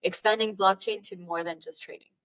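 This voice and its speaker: A woman's voice: medium pitch, fairly even intonation, a little fast, a bit bright, poor audio. A woman co-hosting a tech and crypto podcast, walking through the news in an upbeat, conversational way. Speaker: podcast co-host. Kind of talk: casual chat.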